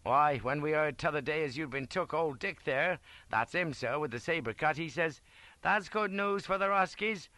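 A man's voice: fairly high pitch, normal speed, bright, forceful tone, no room sound, pitched above the performer's own voice. A man voicing a hearty, loyal cavalry sergeant major with a working-class English accent and bluff, deferential speech, in an audiobook. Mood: chatty, admiring.

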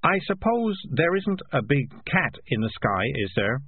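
A grumpy, world-weary talking cat played by a man in a fantasy storybook. He is sardonic and blunt, a little husky and very expressive, heard through a narrow radio-like band. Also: on the high side, a little slow, no echo, voice pushed up, exaggerated voice. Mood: anxious, deferential. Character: Morris, grumpy, cynical, streetwise talking tomcat.

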